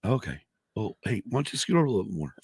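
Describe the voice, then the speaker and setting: A man co-hosting a casual podcast, hoarse from allergies, who rambles, restarts and swears a lot. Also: on the low side, sing-song, fast, darkish tone, slight background noise. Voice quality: gravelly, husky. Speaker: podcast co-host. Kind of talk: casual chat.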